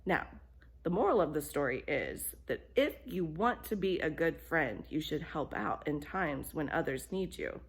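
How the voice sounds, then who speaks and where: A woman telling a children's bedtime story in an expressive, deliberate way, with emphasis on key phrases. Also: low voice, husky.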